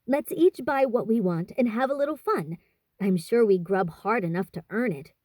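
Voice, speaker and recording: A woman's voice: medium pitch, lively intonation, moderate pace, dark tone, dry recording, studio quality, close to an everyday voice. A woman voicing a blunt, boyish teenage tomboy in a storybook, brash and plain-spoken. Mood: eager.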